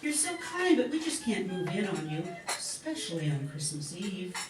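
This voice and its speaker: A woman's voice: fairly low pitch, sing-song, normal speed, background noise. A woman playing a polite, modest young mother of three in an old-time radio drama. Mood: polite, hesitant. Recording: roomy, distant mic, clear music behind.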